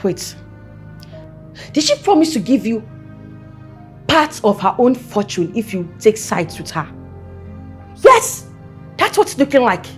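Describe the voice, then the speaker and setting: A woman's voice: a little high, very animated, unhurried, long pauses, soft background music. An actress with a Nigerian accent playing a haughty, scheming, hot-tempered young heiress in a film drama, emphatic and repeating her phrases. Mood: indignant, imperious. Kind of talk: melodramatic film acting.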